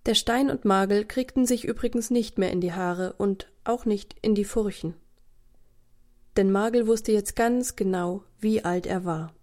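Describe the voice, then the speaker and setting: A woman narrating a scripted children's story as the storyteller, in an expressive, deliberate way. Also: medium pitch.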